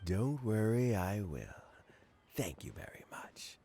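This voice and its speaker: An actor playing a wise, weary old mentor in a video game, measured and gently philosophical. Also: male voice, lowish voice, very animated, vocal fry, heavily acted. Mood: grateful, frail. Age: older.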